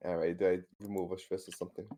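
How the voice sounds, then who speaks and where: A man chatting casually as a game player, asking things in a tentative, halting way. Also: low voice, level tone, warm tone. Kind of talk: casual, hesitant chat.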